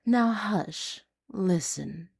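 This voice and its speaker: A woman reading a noir urban fantasy story in a hushed, dramatic, deliberate way. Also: lowish voice, animated, gravelly. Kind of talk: scripted noir confessional storytelling.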